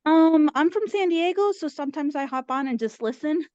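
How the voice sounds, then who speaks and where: A woman's voice: high voice, normal speed, darkish tone, no echo. Chatty Mexican-born woman on a muffled video call. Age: 30s. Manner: eager, chatty, many fillers. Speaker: caller. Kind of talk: casual chat.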